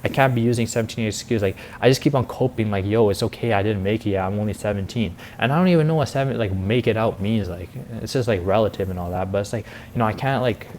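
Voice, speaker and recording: A man's voice: fairly low pitch, fast talker, hardly any pauses, darkish tone, slight background noise. An eighteen-year-old man rambling in a casual, slangy, confessional vlog monologue, full of filler words. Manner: rambling, heavy youth slang with frequent "like" and "bro". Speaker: vlogger and content creator.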